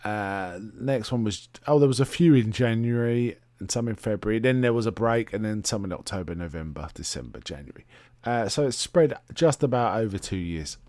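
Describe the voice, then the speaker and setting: A man hosting a casual solo review, talking in a halting, rambling way and often correcting himself. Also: lowish voice, animated, a little mellow, no echo. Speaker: host reviewing film and television.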